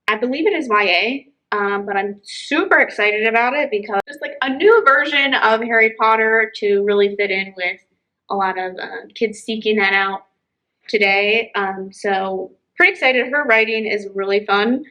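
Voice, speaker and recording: A woman's voice: mid-range voice, sharp tone, poor audio. A woman chatting casually and enthusiastically as she recommends books as a co-host. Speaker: library book show co-host. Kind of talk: casual book recommendation chat.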